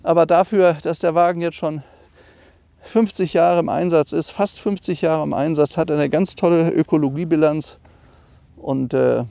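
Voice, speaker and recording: Middle-aged man, high-pitched creaky voice. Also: fairly even intonation, moderate pace, mellow, dry recording. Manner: enthusiastic, conversational, explanatory. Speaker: classic car dealer presenting a car. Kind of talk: informal car walkaround presentation.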